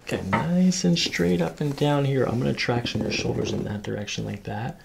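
A man's voice: medium pitch, fairly quick, creaky, a crowd in the background. A man in his thirties working as a chiropractor, talking a patient through a hands-on exam with instructions and short check-in questions. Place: small carpeted clinic treatment room. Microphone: microphone on a boom arm near the table.

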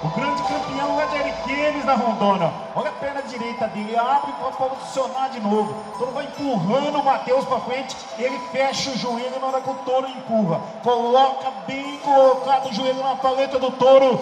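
High-pitched man calling rodeo replays. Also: fairly quick, hardly any pauses, over music. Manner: explanatory, pointing out technique. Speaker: rodeo commentator. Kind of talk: play-by-play replay commentary. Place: rodeo arena. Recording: echoey arena PA with long reverb.

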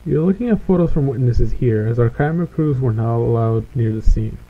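A man's voice: mid-range voice, lively intonation, brisk. A man playing a composed small-town TV news anchor, giving a formal, matter-of-fact scripted report. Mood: composed, serious. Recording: muffled lo-fi VHS news tape.

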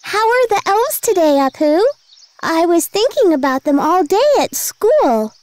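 A woman voicing a sweet, caring little girl in a children's cartoon, with simple, expressive delivery. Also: extremely high pitch, measured pace, exaggerated voice. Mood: earnest, eager. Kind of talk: animated children's story dialogue.

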